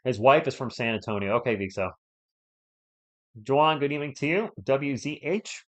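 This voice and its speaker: A man's voice: mid-range voice, lively intonation, moderate pace, muffled recording. A man hosts a live sports stream while slightly under the weather. He mixes casual fan play-by-play with conversational replies to viewers, whom he greets by name. Playful asides are scattered through his commentary.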